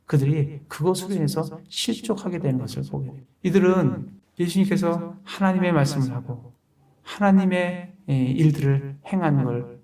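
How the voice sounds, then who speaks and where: A man's voice: a little high, moderate pace, dark tone, recorded from a distance. A man preaching a sermon as pastor, explaining a passage verse by verse and asking rhetorical questions.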